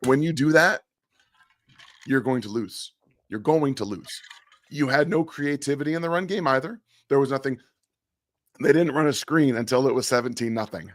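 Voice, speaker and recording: A sick man whose voice is giving out breaks down a football game as co-host, blunt and repeating his main points for emphasis. His pitch is mid-range for a man, and the sound is dry and close, with no room echo. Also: moderate pace, pauses often. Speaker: co-host analyst. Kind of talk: post-game sports analysis.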